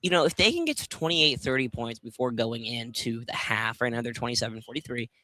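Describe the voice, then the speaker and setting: Halting male color commentator, medium pitch. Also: animated, bright, forceful tone, dry recording, low-quality recording. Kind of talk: casual live sports commentary. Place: courtside at a college basketball game.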